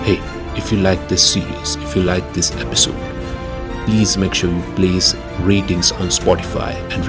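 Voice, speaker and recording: Lowish-voiced male podcast host over music. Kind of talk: scripted podcast outro and credits. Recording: clear music bed behind voice.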